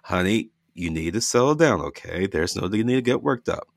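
A man voicing a gentle, devoted ghost husband who talks in a coaxing, reassuring way. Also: deep voice, expressive, moderate pace, creaky, no echo, pitched above the performer's own voice. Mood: soothing.

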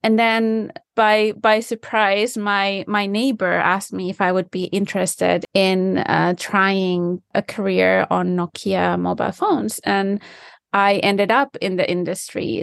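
A woman talks through her answers thoughtfully and with enthusiasm, now and then searching for the right word. Her voice sits at a middle pitch. The recording is dry, with almost no room sound. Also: creaky, dry recording. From Finland. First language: Finnish. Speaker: guest, design specialist. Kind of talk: conversational interview answers. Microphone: remote call from home.

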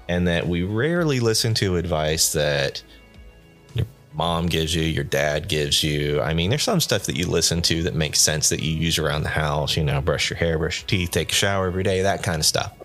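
A man's voice: low voice, a bit bright. A man hosting a solo podcast off-script, rambling casually with self-deprecating asides, sounding tired and a little nasally. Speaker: podcast host.

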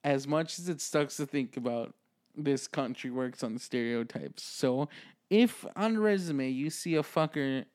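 A man's voice: medium pitch, a little slow, darkish tone. A man in his twenties with a Midwestern American accent, co-hosting a casual comedy podcast, joking and swearing freely. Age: 20s. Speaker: podcast co-host.

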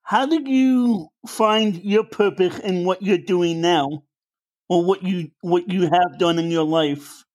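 High-voiced man hosting a faith interview. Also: takes it slowly. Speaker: podcast host. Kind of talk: interview questions.

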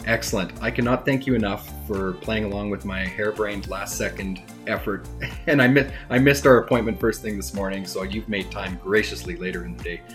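A man from Canada hosting a podcast in casual, upbeat chat, his voice hoarse and scratchy from dust. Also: lowish voice, steady tone, a little fast, a bit bright, faint music behind. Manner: chatty, upbeat, rambling with restarts. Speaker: podcast host.

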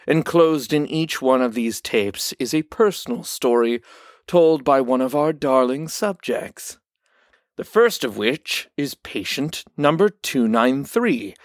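A man's voice: mid-range voice, no room sound, studio quality. A man playing a suave, sinister doctor in a horror audio drama, with a theatrical, mock-polite and unctuous manner. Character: suave, sinister male doctor of a grim facility. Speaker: doctor at a psychiatric facility.

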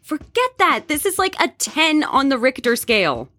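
Young woman, acted, gossipy, bold, dramatic, very animated pitch, audio drama, music underneath. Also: moderate pace, full character voice. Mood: excited. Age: young adult. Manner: animated, teasing, dramatic storytelling. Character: excitable young woman roommate, gossipy, bold, scheming to party. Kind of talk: horror audio drama dialogue.

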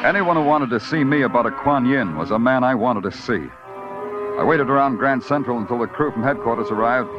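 A man's voice: mid-range voice, natural voice. Man playing terse hardboiled detective, old radio. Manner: terse, wry, deadpan. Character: hardboiled, curt, weary New York police detective narrating. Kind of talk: 1940s noir radio narration and acting. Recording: dull old radio recording, music behind.

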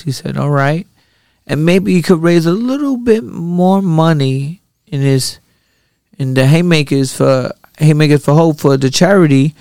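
New guy hosting a podcast, gravelly but resonant, swears a lot and rambles. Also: medium pitch, no room sound, studio quality. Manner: animated, profane, rambling storytelling. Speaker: podcast host and boxer. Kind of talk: casual podcast chat.

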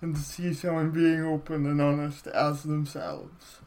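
A man's voice: on the high side, little pitch movement, unhurried, a little mellow. A man interviewing an actor, speaking thoughtfully and reflectively, with frequent small affirmations. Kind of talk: casual interview.

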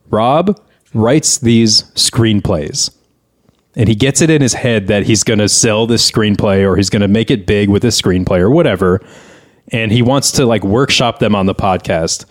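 Grumbling male podcast host. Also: fairly low pitch. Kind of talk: casual comedic podcast banter.

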